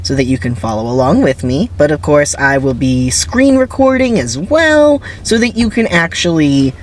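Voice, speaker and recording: A man's voice: a little high, big pitch swings, moderate pace, a little hiss or hum. A man giving casual, rambling commentary to viewers in a wry, conversational way with emphatic asides. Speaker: video creator introducing a dramatic script reading.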